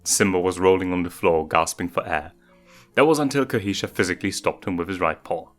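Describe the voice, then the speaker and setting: A man with a fairly low voice narrates a written story and acts out its dialogue. Soft music plays behind him. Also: a little fast. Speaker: fan fiction reader. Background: background music.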